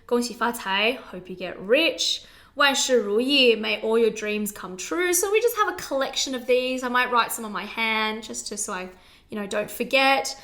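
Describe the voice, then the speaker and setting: A woman's voice: fairly high pitch, animated, moderate pace, pushed and bright. A young woman from China co-hosting a casual chat, playful and wry as she explains family customs. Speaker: podcast co-host.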